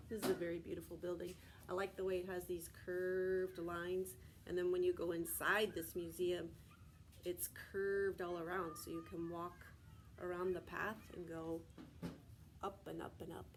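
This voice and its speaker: A woman's voice: on the low side, level tone, normal speed, a little hiss or hum. An American woman, a preschool teacher from Texas, reads aloud to young children in simple phrases, stopping to explain and ask questions. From Texas, United States.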